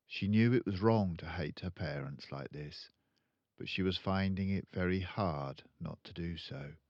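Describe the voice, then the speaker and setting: An older man with a British accent reading a children's story aloud as an expressive narrator. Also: deep voice, no echo, lo-fi sound.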